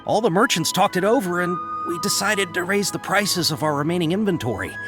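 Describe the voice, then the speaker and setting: Animated, apologetic caravan merchant. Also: male voice, high voice, brisk, music in the background. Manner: hesitant, explanatory. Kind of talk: English game dub dialogue.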